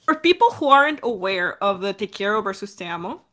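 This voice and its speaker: A woman's voice: mid-range voice, very animated, brisk, sharp tone. A woman from Mexico with an American accent, explaining things informally as a creator in a Q&A, hesitating and correcting herself. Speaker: audio drama creator in a Q&A. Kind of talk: casual chat, explaining.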